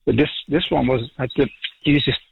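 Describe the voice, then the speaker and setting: A man's voice: mid-range voice, fairly even intonation, normal speed, creaky, narrow, tinny audio, slight background noise. A middle-aged man with a Dutch accent, a farmer calling in to a radio show, talking casually with many ums and restarts. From Netherlands. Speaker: dairy farmer, caller. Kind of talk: casual radio phone chat.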